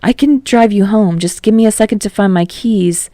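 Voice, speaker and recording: A woman puts on an eager, good-natured teenage boy for a story reading. The voice lifts well above her usual pitch, with a casual, earnest bounce and a touch of sheepishness. The sound is close and dry. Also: normal speed, mellow, no echo, close to an everyday voice. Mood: hushed, helpful.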